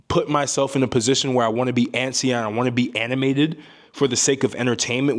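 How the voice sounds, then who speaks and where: A calm young man with a deep voice giving casual advice to camera, often ending points with a rhetorical "right?". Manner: calm, emphatic, frequent "right?" tags. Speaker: self-improvement YouTuber.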